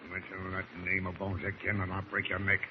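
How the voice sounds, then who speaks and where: A man's voice: deep voice, monotone, a little fast, close to an everyday voice. Man acting a menacing, domineering villainous seaman. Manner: threatening, commanding, salty seafaring talk. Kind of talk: 1940s radio drama acting. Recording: dull old radio recording, faint noise.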